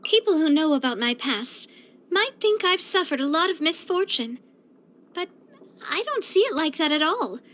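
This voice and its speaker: A woman's voice: high voice, normal speed. An actress playing a spirited, proud and affectionate young woman boss in a video game, with a theatrical, bubbly delivery. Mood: sincere, warm. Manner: theatrical, expressive, bubbly, with playful grandiosity. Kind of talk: scripted video game character voice lines.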